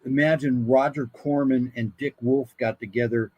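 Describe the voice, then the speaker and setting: A man talking casually as a guest, pitching show ideas in a rambling, playful way. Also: fairly even intonation, moderate pace, dark tone, husky, no room sound, dull audio. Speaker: guest, voice actor and writer.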